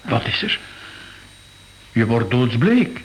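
A man's voice: mid-range voice, sing-song, slow pace, background noise. A man playing a courteous, thoughtful museum curator in a radio drama, with polite, slightly hesitant phrasing. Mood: concerned.

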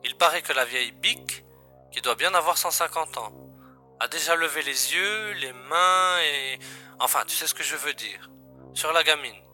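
A man's voice: a little high, normal speed, sharp tone, soft background music. An actor playing an agent's wry, familiar and matter-of-fact male colleague in a science-fiction audio drama. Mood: gossipy.

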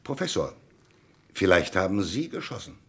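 A man acting a formal, authoritative police commissioner who deliberately questions suspects in a German radio crime drama. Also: sits low, very animated, a bit bright, husky, heavily acted. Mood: stern, insistent. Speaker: actor.

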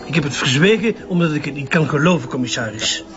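An actor playing a smooth-talking, evasive activist journalist with a Flemish accent, articulate and slightly condescending, in a radio drama. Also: male voice, fairly high pitch, animated, fast, lightly acted. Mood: defensive, evasive. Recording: slightly dull lo-fi audio, music behind.

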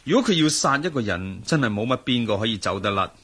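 An actor playing a sociable, sceptical agency chief in a Hong Kong Cantonese radio drama, talking in an emphatic, conversational way. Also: male voice, medium pitch, expressive, fast talker, a bit bright, slightly rough. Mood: concerned.

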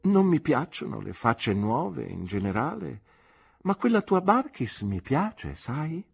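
A man voicing a shrewd, gently scolding elderly aunt in an audiobook, with clipped, knowing remarks. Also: mid-range voice, big pitch swings, slow, gravelly, breathy edge, voice pushed up, exaggerated voice. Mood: teasing. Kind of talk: audiobook character voice.

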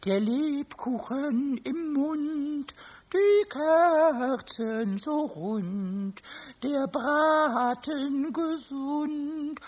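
A man voicing a cheeky, excitable, childlike little kobold with a squeaky, raspy voice in a children's radio play. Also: very slow, heavily acted. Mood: dreamy, singsong. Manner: excited, stammering repetitions, singsong.

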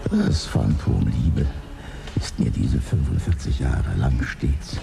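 An actor in his forties playing a man who grew up poor, speaking in a slow, brooding way in a radio drama. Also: very deep voice, animated, full character voice. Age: 40s. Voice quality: gravelly. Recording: studio with music underneath.

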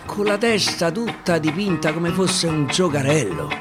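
A man with a Roman accent performing dramatic dialect verse storytelling, with deliberate repetitions and pauses, over guitar. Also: on the high side, lively intonation. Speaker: actor and storyteller. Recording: live guitar behind the voice.

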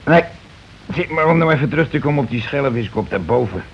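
An actor in a Dutch radio play as a gruff, blustering working-class husband and war veteran who schemes and talks colloquially. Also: male voice, mid-range voice, lively intonation, fast talker. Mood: know-all. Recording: dull, noisy old radio recording.

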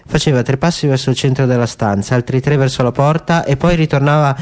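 A man's voice: mid-range voice, fast talker, darkish tone. A man giving a dramatic, scripted literary narration as an actor in a radio play.

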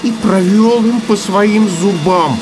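A man narrating a children's story in an expressive, scripted storytelling style. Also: high voice, slow, music in the background, rough recording.

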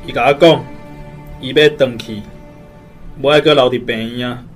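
A man's voice: mid-range voice, steady tone, normal speed, soft background music, close to an everyday voice. A man playing a stern older uncle in a radio drama, with a Taiwanese accent and an urgent, commanding manner. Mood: urgent, grave.

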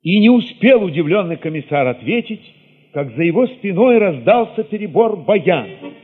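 Man, husky voice, dramatic literary narration, solemn weighty pauses, long reverb. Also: sits high, sing-song, narrow, tinny audio. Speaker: narrator and reader of an audio drama. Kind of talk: dramatic first-person literary narration, radio play.

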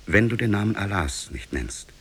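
An actor playing a grave shipwrecked prince, recounting his ordeal in solemn, measured, literary 1950s radio drama style. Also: on the low side, sing-song, fairly bright tone, a little hiss or hum. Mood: solemn command. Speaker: storytelling character in a radio play. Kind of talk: 1950s German radio drama, literary fairy-tale narration.

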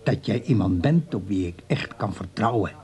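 An actor playing a lonely old man in a radio play, hesitant and husky, wistful but quick to defend himself. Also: lowish voice, lively intonation, mellow, natural voice. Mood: tender, wistful. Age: older, over sixty.

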